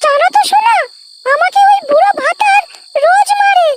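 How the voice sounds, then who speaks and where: A man voicing a brazen, discontented village wife in a crude comedy cartoon, shrill and complaining, through an extreme pitch-raising effect. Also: moderate pace, heavy background noise. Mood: coaxing. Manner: shrill, complaining, foul-mouthed. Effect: extreme pitch-raised cartoon voice. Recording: very loud music over the voice.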